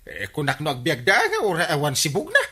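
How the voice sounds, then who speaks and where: An actor playing a flustered, bumbling village captain in an Ilocano radio comedy drama, exclaiming and stammering with an Ilocano accent. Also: male voice, on the high side, wildly swooping pitch, fairly quick, sharp tone, slightly rough, heavily acted. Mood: defensive, agitated. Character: blustering, excitable village captain, a comic bumbler.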